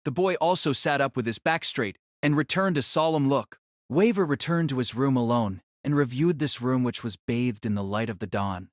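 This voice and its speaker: A man's voice: medium pitch, normal speed, dry recording, studio quality. A man narrating a scripted audio drama as a storyteller.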